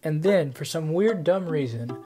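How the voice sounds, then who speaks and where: A man's voice: fairly high pitch, expressive. Young man narrating his own vlog. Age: young adult. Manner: quick, wry storytelling. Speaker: vlogger. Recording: music playing under the voice.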